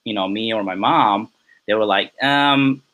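A man's voice: lowish voice, unhurried, crowd noise. A young man chatting as a podcast guest, rambling and filling his talk with "you know" and "um". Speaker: podcast guest, film assistant director. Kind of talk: casual interview chat.

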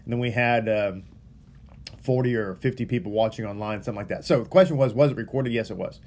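A man's voice: fairly low pitch, fast talker, a little mellow. A man from Texas, a rabbi casually welcoming a livestream audience before a Torah reading, earnest and rambling, often stumbling and repeating words.

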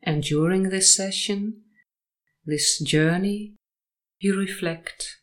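Female guide for sleep hypnosis, medium pitch, unhurried and soothing, short phrases with generous pauses.

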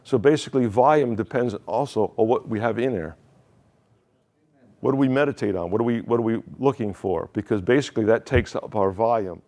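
A man's voice: mid-range voice, fairly quick, a little mellow. A male pastor preaching a sermon in a conversational way, asking the congregation questions and often restarting his phrases.

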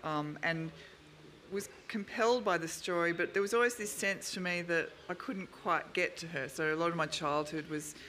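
A bright, fairly high-voiced Australian man moderates a panel in an earnest, personal tone. He leads into questions for the guests. Faint hiss sits under the recording. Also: normal speed. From Tasmania. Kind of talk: panel discussion.

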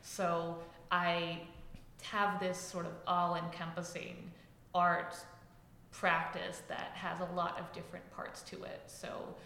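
A woman guest on a podcast, an author chatting casually about her work with a loud, talkative delivery. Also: on the low side, little pitch movement, slow pace, roomy sound. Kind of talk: casual interview chat.

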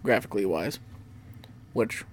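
A man's voice: lowish voice, lively intonation, measured pace. A man hosting a podcast in casual, loose conversation, rambling along with lots of "like". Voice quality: frequent filler "like" in loose, rambling talk. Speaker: podcast host. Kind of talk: casual group podcast chat. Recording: clear background noise and room ambience.

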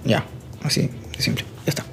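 A man's voice: brisk, sharp tone, faint music behind. A man co-hosting a casual podcast chat, explaining football news at length with playful asides. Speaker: co-host.

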